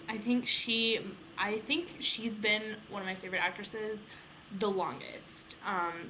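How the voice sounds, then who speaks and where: A woman's voice: medium pitch, pushed and bright, a little hiss or hum, low-quality recording. A young American woman chatting informally in a casual vlog, rambling and peppering her talk with filler words like "like" and "you know". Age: young adult. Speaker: vlogger, aviation student.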